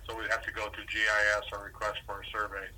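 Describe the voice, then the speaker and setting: An American man speaking as a department manager on a telephone, explaining informally and helpfully in measured phrases. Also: fairly low pitch, completely flat delivery, sharp tone. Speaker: city parks department manager. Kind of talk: informal official phone conversation. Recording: distant, tinny telephone line.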